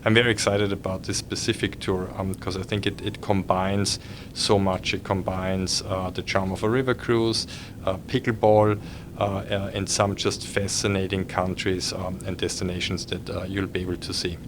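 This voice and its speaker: A middle-aged man with an Austrian accent talks in a low, fairly flat voice. He halts often, filling gaps with uhs and restarting his sentences. A steady hum sits underneath the recording. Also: moderate pace. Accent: Austrian German. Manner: hesitant, many fillers and restarts. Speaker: cruise line executive. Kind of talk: podcast conversation.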